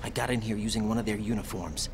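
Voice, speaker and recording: A man's voice: fairly low pitch, steady tone, fast, background noise, lightly acted. An actor playing a young male special-forces operative in a video game cutscene, earnest and full of pointed questions. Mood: hushed, urgent.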